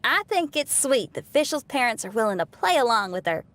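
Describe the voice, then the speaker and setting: A woman playing a gentle, sweet, easygoing young woman in an anime-style video game. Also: a little high, big pitch swings, normal speed, a little hiss or hum. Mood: amused, kindly.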